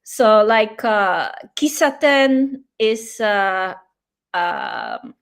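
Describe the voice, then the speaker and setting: A woman's voice: medium pitch, lively intonation, extremely slow, creaky. A woman whose first language is Dutch, a guest in a casual interview, explaining things patiently with many fillers.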